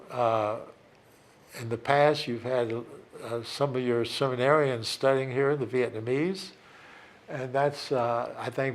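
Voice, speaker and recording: A man speaking as a church leader in a documentary interview, reflective and hesitant, with frequent fillers. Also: mid-range voice.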